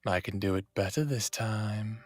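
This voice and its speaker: An actor playing a devoted, wry human lover in his thirties in an intimate audio drama, speaking in a hushed way. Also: lowish voice, normal speed, close to an everyday voice. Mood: playful, teasing. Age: 30s.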